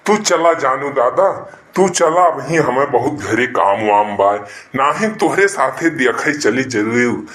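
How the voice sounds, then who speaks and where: A man's voice: mid-range voice, sharp tone, echoey room. A man playing a henpecked middle-aged village husband in a comic Awadhi cartoon, sweet-talking and pleading in a repetitive, wheedling way. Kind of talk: comic cartoon dialogue in rustic dialect.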